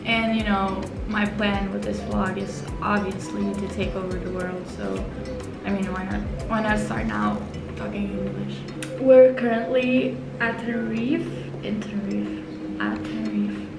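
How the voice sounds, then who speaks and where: A woman's voice: medium pitch, unhurried, creaky. Chatty Norwegian woman on a balcony. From Norway. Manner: relaxed, self-mocking, chatty. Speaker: swimmer vlogger. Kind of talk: casual vlog chat. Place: outdoor hotel balcony. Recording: roomy with loud music behind.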